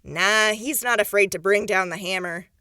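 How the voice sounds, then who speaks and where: A woman playing a brisk small-town official. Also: mid-range voice, sharp tone, smooth, no echo, studio quality, natural voice. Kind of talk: radio drama acting.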